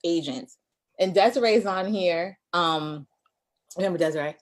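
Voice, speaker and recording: A woman in her thirties hosting a casual chat, talkative and teasing, with quick side notes. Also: on the low side. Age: 30s. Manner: chatty, teasing, quick asides. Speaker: host.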